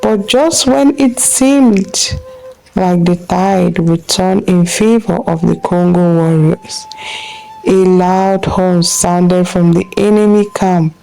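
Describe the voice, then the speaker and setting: A woman narrating a scripted folktale as a storyteller, in measured phrases with deliberate pauses. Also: fairly low pitch, gravelly, warm tone, slight background noise, rough recording.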